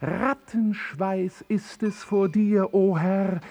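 An earnest, bitter supplicant in an experimental radio play. He pleads solemnly and slowly. His voice is high yet mellow. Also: creaky, a little hiss or hum, heavily acted. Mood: imploring. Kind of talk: avant-garde radio play acting.

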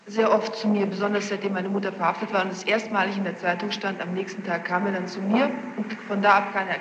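Young woman testifying on an echoey old tape. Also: medium pitch. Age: young adult. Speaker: witness being questioned. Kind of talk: spontaneous testimony recounting events. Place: reverberant room, taped interrogation. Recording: noisy, muffled, echoey archival tape.

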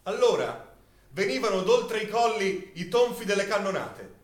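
An actor playing a reflective war witness, telling memories in a measured literary stage monologue. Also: male voice, high voice, sing-song. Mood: pensive recollection. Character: reflective witness recalling distant wartime cannon fire. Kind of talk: theatrical monologue, literary storytelling. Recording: echoey stage recording.

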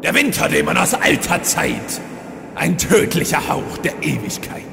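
A man's voice: fairly high pitch, big pitch swings, unhurried, vocal fry, noisy background, full character voice. A man playing a grim, solemn prophet who recites ominous verse about doom in an audio drama parody. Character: grim male prophet foretelling a deadly winter storm. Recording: cavernous long reverb, faint music behind.

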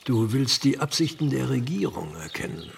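A gravelly frail old Turkish gentleman. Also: mid-range voice, sing-song, distant mic, slight background noise. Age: older. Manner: slow, solemn, courtly and philosophical. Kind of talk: radio drama acting.

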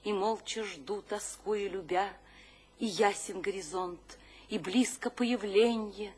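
An actress playing an imperious, fervently mystical literary salon hostess in an audio drama, with theatrical declamation and dramatic pauses. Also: female voice, mid-range voice, slightly rough. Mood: rapt, yearning. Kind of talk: audio drama stage acting.

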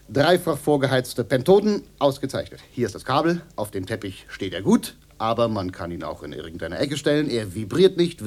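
An eager, animated salesman. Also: mid-range voice. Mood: brisk, showy. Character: glib, eager American machine salesman. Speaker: actor in a radio drama. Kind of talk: radio play acting.

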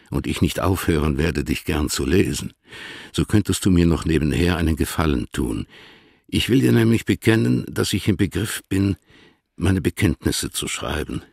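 An actor playing a dignified, fond older poet in a literary radio drama, speaking his letters in a measured, courtly way with a gravelly, husky voice. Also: very deep voice, no echo, clean studio sound, heavily acted.

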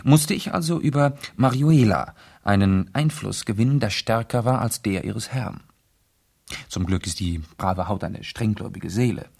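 An actor in a German radio drama playing a shrewd, composed English gentleman detective, speaking in measured, precise phrases. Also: fairly low pitch, vocal fry, husky. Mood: explanatory, grave. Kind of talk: German radio drama dialogue, explanatory monologue.

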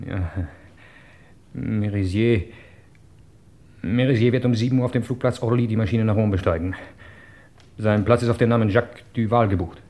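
An actor playing a wary criminal turned informant, measured, polite and a little hesitant, in an old German crime radio play. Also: male voice, lowish voice, dark tone, natural voice. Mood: matter-of-fact.

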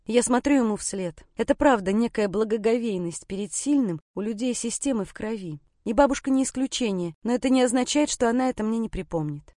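Woman, audiobook narrator, scripted first-person story, soft dark tone, dry recording. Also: a little high, animated, a little fast, few pauses, no echo.

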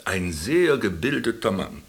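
A man's voice: on the low side, very animated, a little slow, gravelly, full character voice. An actor playing a sardonic former businessman in a radio play, speaking with dry irony and a theatrical, lecturing manner. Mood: joke-telling. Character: sardonic former businessman stranded in a camp, teasing his companion. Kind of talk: German radio play dialogue.

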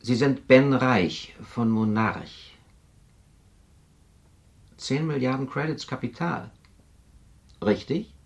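A formal telepath adviser from a 1970s science-fiction radio play. His mid-pitched voice is deliberate, probing and insistent, pressing each point. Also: highs cut off. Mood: probing, cool. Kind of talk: 1970s radio drama acting.

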